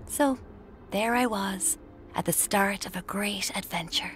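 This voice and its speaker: An actress playing a dreamy, self-deluded young Irish woman narrating her own story in a breathy, confiding, languid way for radio drama, with music underneath. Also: medium pitch, a little slow, natural voice. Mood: wry, confiding. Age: young adult. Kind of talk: intimate first-person radio drama narration.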